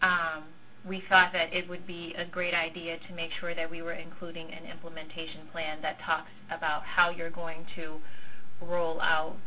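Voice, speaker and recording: A woman giving an explanatory briefing on a conference call as an official, in a halting, measured manner with careful wording. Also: on the low side, completely flat delivery. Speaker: school district official answering questions. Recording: noisy conference call line.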